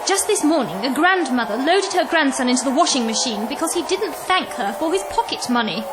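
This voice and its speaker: Dana is a brisk, formal government aide played by a woman. She speaks urgently in a high voice. Noise hums clearly behind her in this audio drama. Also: lively intonation.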